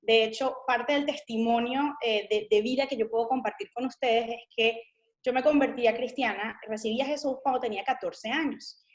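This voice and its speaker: A woman's voice: on the high side, reverberant, poor audio. A Venezuelan woman in her thirties with a Venezuelan Spanish accent, a missionary guest sharing her testimony in an earnest, reflective way with frequent fillers. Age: 30s. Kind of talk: interview, personal testimony.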